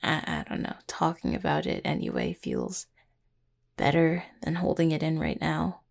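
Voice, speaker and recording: A woman playing a grieving, tender young necromancer in an intimate audio roleplay, speaking haltingly and apologetically to a partner. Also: low-pitched, wildly swooping pitch, gravelly, full character voice. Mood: vulnerable, subdued.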